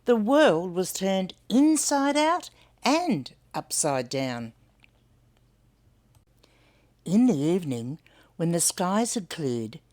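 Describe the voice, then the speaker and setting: A woman's voice: on the low side, a little mellow. An older woman with an Australian accent reading a storybook aloud to children, expressively and with questions for young listeners.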